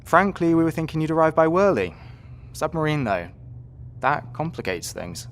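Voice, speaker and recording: An actor playing a breezy, courteous and wry Royal Marines lieutenant in an audio drama. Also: male voice, on the high side, very animated, normal speed. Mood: wry, explanatory.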